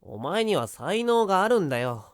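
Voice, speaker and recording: A performer voicing a hyperactive, cheeky high-school boy in a Japanese voice drama, excitable and teasing. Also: moderate pace, dry recording, studio quality, natural voice. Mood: earnest. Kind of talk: Japanese anime-style voice drama acting.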